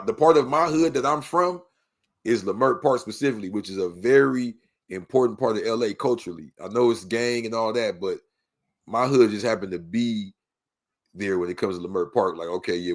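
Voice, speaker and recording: A man from Los Angeles with a local accent, talking in a casual, slangy way as a podcast guest telling his story. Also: on the low side. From Los Angeles, United States. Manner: slangy, peppered with "you know what I mean".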